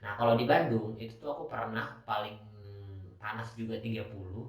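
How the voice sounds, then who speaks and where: Young male podcaster, softened Medan accent, lowish voice, rambling casual monologue, very echoey small room. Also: level tone, a little fast, gravelly. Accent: Medan Indonesian, softened. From Medan, North Sumatra, Indonesia. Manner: rambling, many fillers and self-corrections. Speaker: podcast host. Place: small rented room, indoors.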